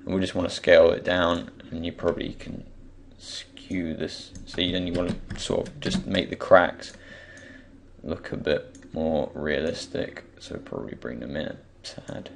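A man with a croaky, just-woken voice gives an unscripted software tutorial, hesitantly thinking aloud as he goes. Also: low-pitched, steady tone, measured pace. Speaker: tutorial presenter. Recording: faint background noise, keyboard and mouse clicks.

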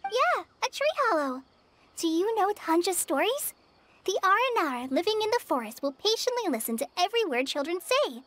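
A gentle young forest ranger. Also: female voice, very high-pitched, big pitch swings, moderate pace, heavily acted. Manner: gentle, encouraging, soft-spoken. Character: young woman trainee forest ranger, kind and earnest. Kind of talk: game cutscene dialogue. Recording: game soundtrack music behind.

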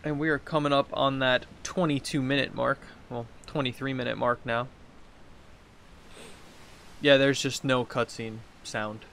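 A man's voice: medium pitch, fairly even intonation, measured pace, a bit bright. A man in his thirties giving casual, rambling game commentary, talking to himself and reading quest text aloud. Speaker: gaming video host. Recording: clear background noise, game ambience.

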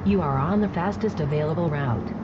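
A man voicing a car GPS navigation assistant, giving scripted prompts in a measured, impersonal way. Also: on the high side, lightly acted. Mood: impersonal, instructive. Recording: muffled, noisy played-back dashcam video audio.

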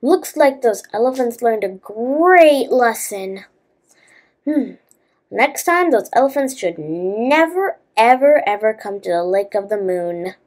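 Slow, high-pitched female storyteller. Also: expressive, darkish tone. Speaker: storyteller and host of a children's story channel. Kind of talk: reading a children's bedtime fable aloud, with asides to listeners.

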